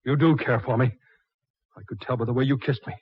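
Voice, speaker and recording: An American actor playing an obsessive, pleading suitor in a 1940s radio melodrama, insistent and overwrought. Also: male voice, mid-range voice, fairly even intonation, a little fast, breathy edge, no room sound, close to an everyday voice. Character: obsessive, possessive spurned suitor pressing a married woman. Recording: muffled old 1940s radio recording.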